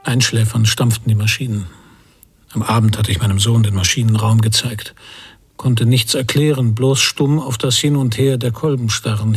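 Husky, hushed pensive emigrant father. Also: lowish voice, no echo. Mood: calm recollection. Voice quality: breathy, husky, often near a whisper. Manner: slow, halting, introspective phrases. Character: pensive emigrant father at sea, relieved yet uneasy. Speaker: narrator and lead character. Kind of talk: literary radio drama monologue.

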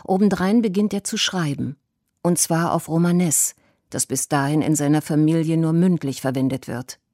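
A woman with a low, dark voice reads a radio documentary script. Her delivery is calm and even, each sentence measured out. The recording is dry and close. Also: no room sound. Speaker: documentary narrator.

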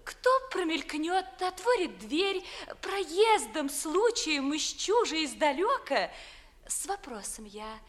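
An actress playing a dreamy, haughty young noblewoman in love, in a classical verse comedy, with a genteel, measured, theatrical delivery. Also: sits high, sing-song, exaggerated voice. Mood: cool politeness. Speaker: stage actress. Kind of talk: classical verse comedy acting, 1950s audio play.

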